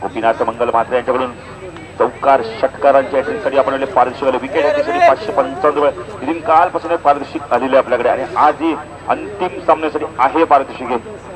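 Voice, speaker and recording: A man giving live play-by-play cricket commentary in an animated announcer's delivery, with punchy stock phrases. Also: medium pitch, fast, low-quality recording. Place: outdoor cricket ground. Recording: outdoor event PA with crowd noise. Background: crowd and outdoor event noise.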